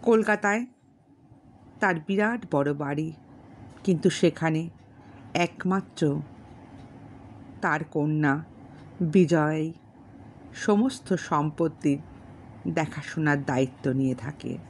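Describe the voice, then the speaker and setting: Female audiobook storyteller, slightly low register, unhurried formal delivery with pauses between phrases. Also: a little hiss or hum. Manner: measured, formal, pausing between short phrases. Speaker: storyteller and channel host. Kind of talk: audiobook narration of a classic novel with spoken introduction.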